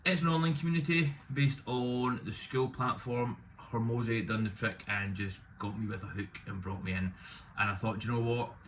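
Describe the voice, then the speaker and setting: A man in his thirties, loud and opinionated with a self-deprecating streak, talking casually to camera about his business. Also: mid-range voice, fairly even intonation, moderate pace, a little hiss or hum. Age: 30s. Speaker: business owner vlogging.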